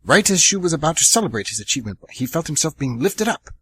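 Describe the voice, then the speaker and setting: Male narrator for an audio drama, animated delivery, a bit breathy. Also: a little high, fast talker. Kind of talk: scripted storybook narration.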